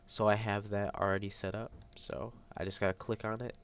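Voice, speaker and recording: Young man, congested nasal sound, slightly low voice, halting student tutorial delivery. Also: flat delivery, creaky, smooth. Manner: hesitant, many ums and uhs. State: congested, stuffy nose. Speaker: high school student. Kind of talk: screen-recorded tutorial. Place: at a computer, voice over screen capture.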